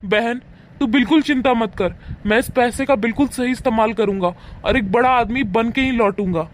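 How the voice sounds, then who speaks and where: A man voicing a devoted adult son, earnest and protective of his family, in an animated moral story. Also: very high voice, normal speed, slight background noise. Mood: reassuring.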